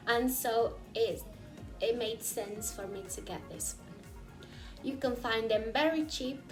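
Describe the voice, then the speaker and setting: A young woman from Peru, chatting casually in a vlog and explaining things with frequent "uh" fillers. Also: fairly high pitch. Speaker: vlogger. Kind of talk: casual vlog recommending souvenirs.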